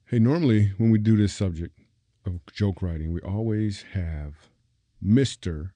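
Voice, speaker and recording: A man's voice: fairly low pitch, unhurried, dark tone, no echo. A man hosting a comedy podcast, joking and teasing playfully and laughing often. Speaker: podcast host. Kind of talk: casual comedy podcast banter.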